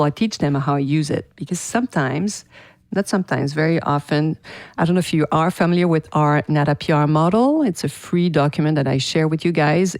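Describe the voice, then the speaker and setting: A woman's voice: sits low, a little mellow, dry recording, clean studio sound. A woman with a French accent hosting her own podcast, talking to listeners in an enthusiastic, chatty way. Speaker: podcast host and PR teacher. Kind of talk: casual solo podcast talk.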